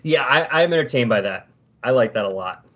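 A man's voice: medium pitch, animated, a little fast, husky. A man in a casual comic book discussion on a podcast, sometimes reading dialogue aloud. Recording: dull, lo-fi voice recording.

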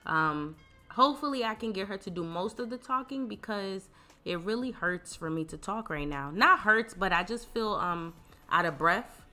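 A woman's voice: mid-range voice, lively intonation, moderate pace, bright, forceful tone, faint music behind. A woman in her thirties hosting a podcast, sounding congested and talking in a chatty, laid-back way. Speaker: podcast host. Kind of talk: casual podcast chat.

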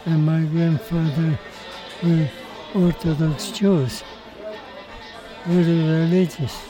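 An older man, telling his life story in an interview in a halting way, with many restarts and repeated words. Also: on the high side, steady tone, music in the background, crowd noise. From Mexico, raised in Hungary. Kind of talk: recorded interview.

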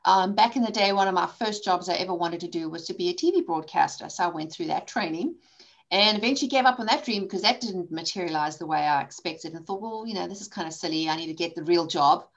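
A woman in her forties from South Africa, a guest in a conversational interview, telling her story candidly with quick asides. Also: on the low side, creaky, lo-fi sound. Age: 40s. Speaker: podcast guest, coach and author.

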